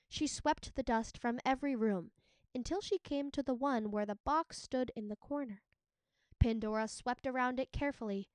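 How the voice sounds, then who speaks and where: A woman narrating a storybook as a teacher would, in an expressive read-aloud style. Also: fairly high pitch, dry recording.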